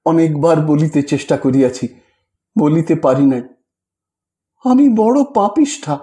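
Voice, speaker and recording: Sorrowful young wife confessing gravely, read by a man, unhurried and soft-toned, for an audiobook. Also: a little high, expressive.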